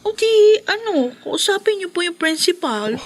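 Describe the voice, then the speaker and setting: A woman's voice: very high-pitched, big pitch swings, moderate pace. A Filipino actress playing a spoiled, spiteful teenage schoolgirl in a melodramatic, haughty radio drama style. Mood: coaxing, sly.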